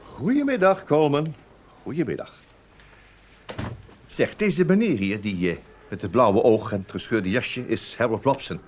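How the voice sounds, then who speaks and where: An actor playing a wry, self-assured investigator in a radio play, explaining himself to a superior. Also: male voice, mid-range voice, big pitch swings, moderate pace, pauses often, a little mellow, slight background noise, natural voice. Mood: breezy. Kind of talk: Dutch radio play acting.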